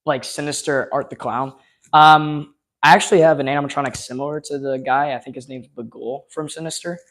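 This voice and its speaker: A man's voice: fairly high pitch, steady tone, a little fast, fairly bright tone. A man hosting a casual live unboxing stream, rambling with lots of fillers and losing his voice from talking so much. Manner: rambling, filler-heavy, enthusiastic.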